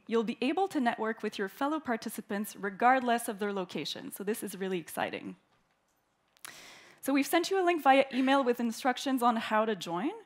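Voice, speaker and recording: Woman opening a conference, high voice. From Canada. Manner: warm, clear and orderly, reading prepared remarks. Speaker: event host, opening speaker. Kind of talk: formal welcoming speech. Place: conference hall.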